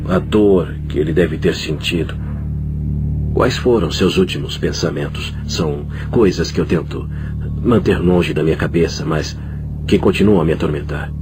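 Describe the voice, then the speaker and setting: A man dubbing a grieving man who blames himself, speaking low, halting and sorrowful in a documentary voice-over. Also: music in the background. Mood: anguished. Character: grieving man, heavy with guilt.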